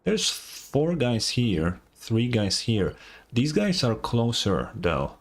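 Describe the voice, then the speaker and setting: A man's voice: medium pitch, very animated, takes it slowly. A man livestreaming a game, commenting casually in a laid-back, slangy way and reading game text aloud.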